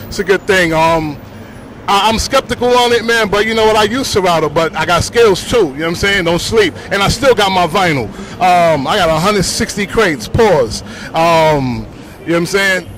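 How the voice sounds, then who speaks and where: A man with a Bronx New York accent, a DJ talking casually in slang on a city street, full of shout-outs and "you know what I'm saying". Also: sits high, a little hiss or hum, low-quality recording. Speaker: DJ interviewed on the street.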